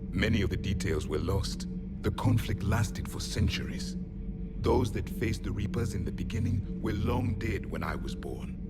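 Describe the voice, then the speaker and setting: An actor playing a grim, proud alien soldier in a video game, solemn, haughty and measured in his speech. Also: fairly low pitch, lively intonation, over loud music. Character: grim, proud alien soldier, last survivor of a fallen empire. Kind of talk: dramatic video game dialogue.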